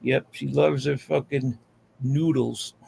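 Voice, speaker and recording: Gravelly American man chatting, slangy. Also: mid-range voice, fairly even intonation, slow, darkish tone, slight background noise. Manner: profane, slangy. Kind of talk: casual chat.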